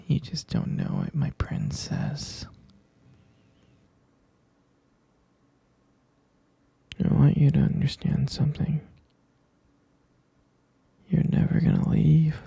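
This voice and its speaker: A man playing an obsessive, lovesick captor in a whispered ASMR roleplay, tender yet menacing, with a breathy, husky delivery and coaxing, possessive endearments. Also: a little high, huge pitch swings, slow, long pauses, warm tone, dry recording, heavily acted. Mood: defensive, possessive.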